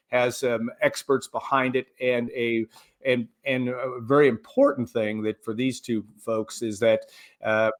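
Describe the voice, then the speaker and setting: A man's voice: medium pitch, a bit bright. A middle-aged man hosting a conversational sports show interview, with rambling, roundabout phrasing. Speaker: show host interviewing guests.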